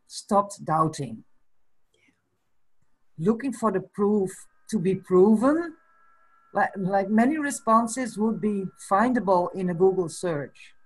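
A Dutch woman in her fifties whose first language is Frisian, talking slowly and thoughtfully in a casual chat over a webcam from a small room. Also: mid-range voice, expressive, slightly rough, poor audio. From Netherlands. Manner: slow and thoughtful, breaks into small laughs. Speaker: guest. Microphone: video call webcam.

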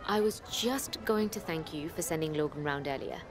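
A woman's voice: low-pitched, normal speed, close to an everyday voice. An actress playing a polite, enigmatic woman who is new to a village, in a radio soap. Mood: polite, knowing. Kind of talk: naturalistic radio soap acting.

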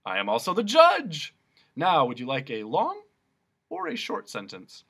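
A man's voice: mid-range voice, wildly swooping pitch, unhurried, husky, heavily acted. A man voicing a blustering, self-important male policeman who is also judge and jailer. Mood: pompous, booming. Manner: loud self-important proclamations.

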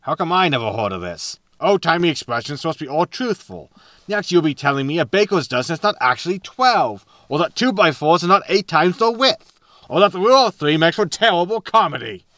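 A man's voice: on the high side, lively intonation, a little fast, fairly bright tone, dry recording. A man playing a roguish, wry spaceship captain with a laconic manner in a sci-fi comedy audio drama. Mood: grumbling. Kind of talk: sitcom audio drama acting.

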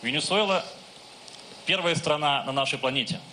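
Brief: Russian guest speaker in his forties, halting phrase-by-phrase address, bright tense tone, faint room hum. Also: on the high side, low-quality recording. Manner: halting, pausing between phrases. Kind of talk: formal address in short phrases for interpretation. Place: large hall with audience. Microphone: handheld microphone.

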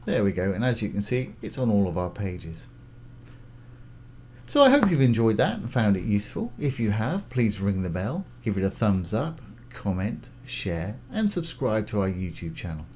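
Man, lowish pitch, informal tutorial host, step-by-step delivery, talks through each action, faint noise with keyboard clicks. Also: big pitch swings, normal speed, a little mellow. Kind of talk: casual step-by-step software tutorial. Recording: clear background noise, keyboard typing.

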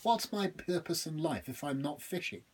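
A man voicing a plain, stubborn fisherman who talks in a calm, dry way. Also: fairly high pitch, moderate pace, husky, voice pushed up. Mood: thoughtful.

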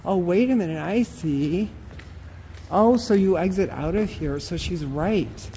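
A woman's voice: lowish voice, lively intonation, measured pace, warm tone, a little hiss or hum, rough recording. A woman walking an outdoor wooded trail and filming with a handheld phone, talking casually and exclaiming often, out of breath from the climb. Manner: chatty, exclaims often, laughs. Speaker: vlogger.

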